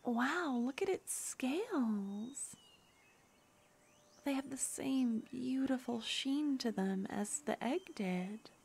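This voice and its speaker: A woman voicing a tender young necromancer girlfriend, talking in a soft whisper in a fantasy ASMR roleplay. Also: high-pitched, expressive, takes it slowly, creaky, a little hiss or hum, exaggerated voice.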